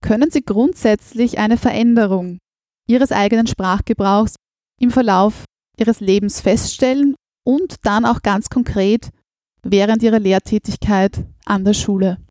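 A woman's voice: mid-range voice, measured pace, darkish tone, no echo, clean studio sound. A woman interviewer with an Austrian accent, asking formal and polite questions.

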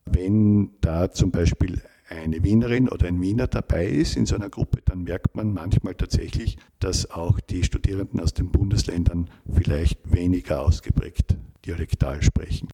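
A middle-aged man, a linguistics professor giving measured, academic interview answers and often rephrasing himself. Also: deep voice, vocal fry, dark tone, breathy edge.

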